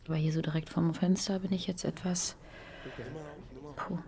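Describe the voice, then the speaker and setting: A lowish, creaky female voice with chatter behind it. An actress plays an agreeable businesswoman in a radio drama, polite and tactful, feeling her way through hesitant phrases. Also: little pitch movement, normal speed, natural voice. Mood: tactful, uneasy. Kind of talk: naturalistic radio drama acting.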